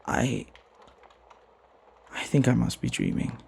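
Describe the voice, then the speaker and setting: A man playing a devoted, flirty butler in an intimate ASMR roleplay, tender and hesitant, often sinking into a breathy whisper. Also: medium pitch, wildly swooping pitch, slow, darkish tone, exaggerated voice. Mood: dazed, playful. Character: flirty lovestruck male butler, devoted and deferential.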